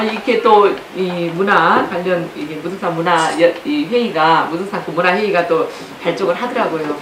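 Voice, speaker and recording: Low-ish woman making informal remarks, echoey. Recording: echoey with clear background noise.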